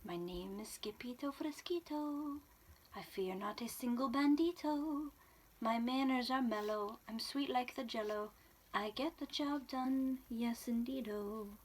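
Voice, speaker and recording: A woman's voice: medium pitch, takes it slowly, few pauses. A woman voicing a bouncy, boastful boy kitten who plays at being a swordsman, in a theatrical storybook manner. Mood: soft singsong. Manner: excitable, theatrical. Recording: clear background room noise.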